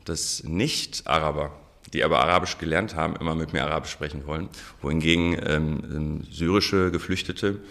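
A very low-pitched man in his forties answers questions on a radio interview. He thinks out loud, pausing on frequent ums and circling back to restart his sentences. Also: fairly even intonation. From Germany. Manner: hesitant, many ums, restarts. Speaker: interview guest, sociologist.

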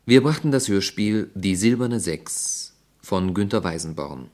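A male radio announcer reading the credits of a radio play. He speaks in a deep, low voice at a slow, formal pace. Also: animated, darkish tone. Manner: measured, formal delivery.

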